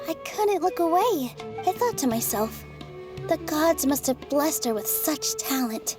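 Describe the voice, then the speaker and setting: An actress voicing a young female theater dancer in a video game, speaking in a soft, sincere way. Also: high-pitched. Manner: soft, sincere and warm-hearted.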